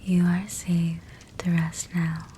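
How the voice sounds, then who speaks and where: A woman speaks in a soft, breathy whisper, low and very slow, as she guides a sleep meditation. Her delivery is gentle and soothing, with long pauses between short phrases. A steady fireplace crackle runs behind her voice. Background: fireplace sounds.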